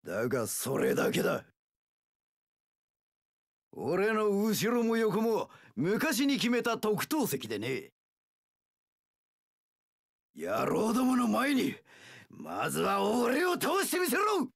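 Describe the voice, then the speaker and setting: Gravelly, brash pirate warlord. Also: male voice, high voice, huge pitch swings, dry recording, clean studio sound, full character voice. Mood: fierce, rousing. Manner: rough delinquent-boss speech, drawn-out battle cries. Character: brash, rough-talking young male pirate warlord, loyal gang boss. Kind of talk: video game character voice lines.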